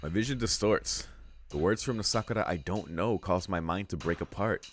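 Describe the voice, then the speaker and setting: A man's voice: low voice, normal speed, slight background noise. A man hosting a let's read, narrating visual novel text aloud and adding casual reactions of his own. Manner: casual, swearing asides between read lines. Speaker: let's play host, reader. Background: game music.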